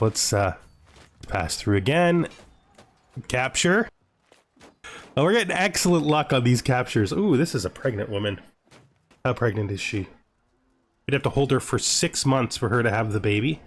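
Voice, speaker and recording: A man hosting a let's play, thinking aloud as he comments, with playful mock-menacing asides. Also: mid-range voice. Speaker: host of a gaming video series. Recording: music behind the voice. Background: music.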